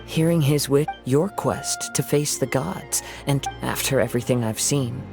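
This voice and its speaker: Gentle young samurai played by a man, creaky edge. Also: mid-range voice, measured pace, natural voice. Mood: reflective. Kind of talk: dramatic video game voice acting. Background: background music.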